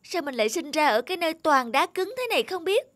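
A woman's voice: sits high, big pitch swings, fast, very noisy. A woman voicing a whiny, discontented pandan tree in a children's cartoon fable, complaining with dramatic exclamations. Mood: grumbling, annoyed. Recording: music behind the voice.

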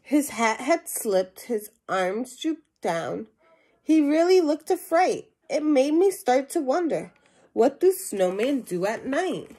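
A woman's voice: sits high, big pitch swings, takes it slowly, dark tone, slightly rough. A young woman reading a children's picture book aloud, adding simple questions and asides for young listeners. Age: young adult. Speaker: storybook reader. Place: small indoor room at home.

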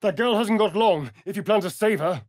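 An actor playing a sardonic, vain vampire rogue in a video game, with a theatrical, arch, drawling delivery. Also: male voice, sits high, fairly quick, darkish tone, dry recording, studio quality, close to an everyday voice. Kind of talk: video game character voice lines.

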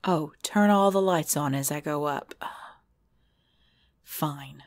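A woman playing a cute, easily scared girlfriend in an intimate ASMR roleplay, pleading and whiny, often hushed. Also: deep voice, very slow, full character voice. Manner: pleading, whiny, dropping into hushed self-talk. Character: cute, jumpy girlfriend frightened by scary movies. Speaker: roleplay audio performer.

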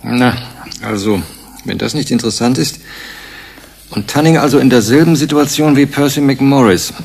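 An actor playing a matter-of-fact, probing inquiry member in a radio play, conversational in manner. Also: male voice, medium pitch, normal speed, gravelly, a little mellow, husky.

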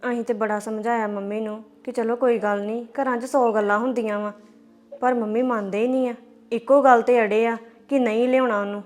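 An actress with a Punjabi accent playing a young sister-in-law stuck in a family quarrel. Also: female voice, fairly high pitch, steady tone, fairly quick, darkish tone, close to an everyday voice. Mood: pleading. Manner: pleading, placating.

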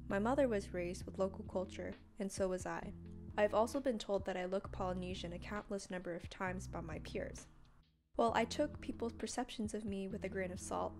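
A young woman from San Francisco, narrating her student documentary in a steady, earnest scripted read. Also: medium pitch. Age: young adult.

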